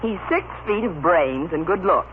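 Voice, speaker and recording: A woman's voice: on the low side, animated, normal speed, phone-quality sound, close to an everyday voice. A woman playing a dry, skeptical gossip columnist needling her friend in an old-time radio soap opera. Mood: wry, disapproving. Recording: crackly, thin old radio recording.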